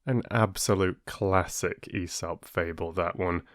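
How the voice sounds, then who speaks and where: A man narrating as an audiobook storyteller, with expressive, deliberate and dramatic phrasing, close to the microphone. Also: sits low, dry recording. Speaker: storyteller and channel host.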